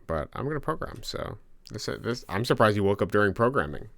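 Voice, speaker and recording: A man's voice: big pitch swings, brisk, vocal fry, a bit bright, dry recording. A man streaming himself programming a game, talking through the code step by step with self-mocking asides to his chat, in a soothing voice. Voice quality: soothing low voice. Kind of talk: live-streamed coding, thinking aloud. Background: keyboard typing and clicks.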